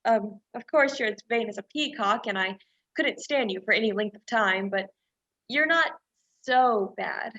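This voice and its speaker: Woman acting high-voiced, flustered young companion. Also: a little slow, a bit bright, highs cut off. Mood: tender, flustered. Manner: flustered, doubting. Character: Pamela, fretful, reluctant young female companion. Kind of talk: radio play acting.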